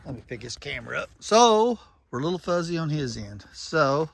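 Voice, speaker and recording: A man's voice: a little high, big pitch swings, moderate pace, gravelly, a little hiss or hum. A man giving casual, plain-spoken running commentary and making radio check calls in a folksy way. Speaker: vlogger testing walkie-talkies.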